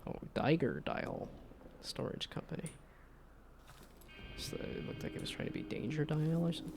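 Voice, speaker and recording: A man's voice: fairly high pitch, expressive, normal speed, creaky, background noise. A young-sounding man with a slightly nasal voice, chatting casually and rambling while he streams a game. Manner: laid-back, rambling with restarts. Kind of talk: casual gameplay chat.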